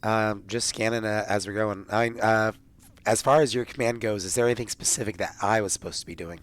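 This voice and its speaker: A man's voice: lowish voice, steady tone, a little fast. A man chatting casually as a player in a tabletop roleplaying game.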